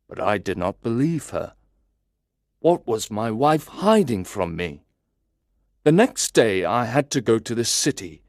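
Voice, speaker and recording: A man narrating a first-person story in a slow, deliberate, carefully enunciated way, as if for language learners. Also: medium pitch, no echo, studio quality.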